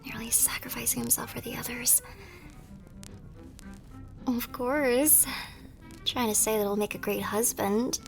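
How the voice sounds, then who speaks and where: A tender young wartime nurse, acted by a woman, speaks in a high, soft, breathy voice. Her delivery is wistful and emotive, in the intimate style of an ASMR romance audio drama. Music plays clearly behind her. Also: fairly even intonation, a little slow, long pauses. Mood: excited, warm. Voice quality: soft, breathy, often dropping to a whisper. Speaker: voice actor in a romance audio roleplay. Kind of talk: ASMR romance roleplay acting.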